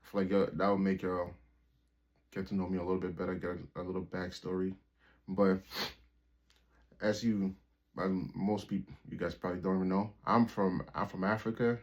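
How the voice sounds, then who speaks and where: Deep-voiced young man rambling casually. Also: little pitch movement, normal speed, pauses often. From Liberia. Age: 20s. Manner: rambling, loose and profane, full of "like" and restarts. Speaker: YouTuber telling a personal story. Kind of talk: casual storytelling vlog. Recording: dull lo-fi recording.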